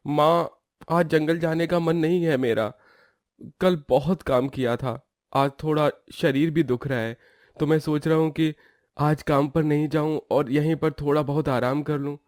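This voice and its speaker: A man's voice: a little high, steady tone, unhurried, a little mellow, dry recording, studio quality, lightly acted. A man voicing a lazy, scheming young woodcutter husband who whines and bargains in a cartoon story. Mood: whining, sleepy. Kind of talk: Hindi cartoon story voice acting.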